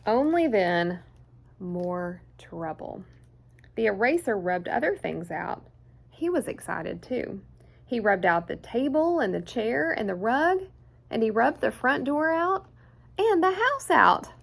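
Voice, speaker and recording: A woman reads a picture book aloud for children. Her pace is slow and deliberate, and her expressive voice carries a noticeable creak. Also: on the high side. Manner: expressive, deliberate storyteller phrasing. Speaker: children's story time host.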